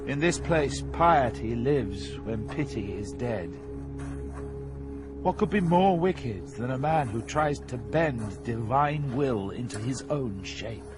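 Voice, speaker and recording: An actor playing a stern, wise poet guide through Hell, grave and authoritative, in an audio drama. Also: male voice, medium pitch, expressive, slow. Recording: voice over loud music.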